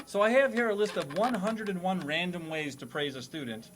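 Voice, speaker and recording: A man's voice: sits high, fairly quick, fairly bright tone. A man hosting a comedic classroom quiz in a jokey, mock-formal teacher's manner. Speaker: host playing teacher of a comedy panel game.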